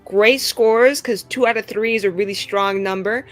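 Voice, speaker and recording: A woman's voice: mid-range voice, normal speed, soft background music. A woman playing a tabletop roleplaying game, chatting casually and playfully, slipping into improvised dialogue.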